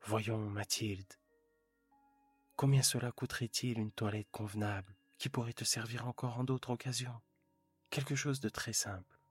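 A man's voice: mid-range voice, steady tone, normal speed, breathy edge, dry recording, soft background music, pitched above the performer's own voice, lightly acted. A man voicing an anxious, eager husband who is a modest clerk, talking in a raised, pleading way.

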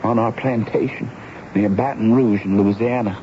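A man's voice: lowish voice, steady tone, natural voice. Man acting an earnest, pleading runaway teenage boy. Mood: defensive. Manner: halting, pleading, emotional. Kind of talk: 1970s radio drama acting. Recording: dull, noisy old radio broadcast recording.

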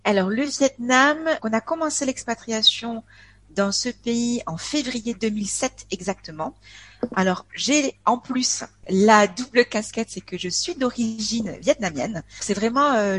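A woman's voice: a little high, dry recording. A woman of forty from France, a guest chatting casually in an interview, talking in a hesitant way with many filler sounds and restarts. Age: 40s. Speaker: podcast guest.

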